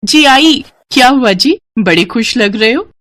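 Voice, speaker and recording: A woman plays a young village wife from a storybook. She is eager and dutiful, with her voice raised high and her spirits up. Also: animated, measured pace, fairly bright tone, dry recording, clean studio sound. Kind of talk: acted dialogue in a children's moral story.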